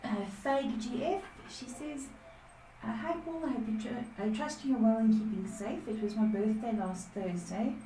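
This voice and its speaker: A woman's voice: mid-range voice, warm tone. Female co-host, casual message reader, echoey distant sound with faint noise. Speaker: co-host reading viewer questions. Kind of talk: casual chat, reading out messages.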